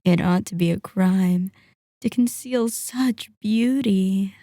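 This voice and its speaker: Woman acting a slow, mellow, seductive vampire hostess. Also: mid-range voice, dry recording, clean studio sound. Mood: seductive, admiring. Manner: slow, teasing, courtly, breathy murmurs. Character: seductive, sadistic, possessive female vampire, toying host. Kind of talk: ASMR roleplay, scripted character acting.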